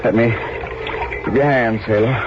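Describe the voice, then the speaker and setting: An actor playing a gallant, gruff but soft-hearted military colonel in a 1970s radio drama. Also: male voice, medium pitch, fairly even intonation, moderate pace, close to an everyday voice. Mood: rueful, tender. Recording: muffled, very noisy old radio recording.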